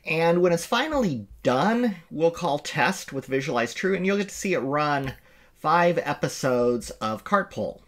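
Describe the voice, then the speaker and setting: Middle-aged man, programming lecture, relaxed explanatory delivery, frequent self-corrections, home office at a desk. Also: fairly high pitch, a little slow. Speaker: instructor. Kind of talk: screen-recorded coding lecture.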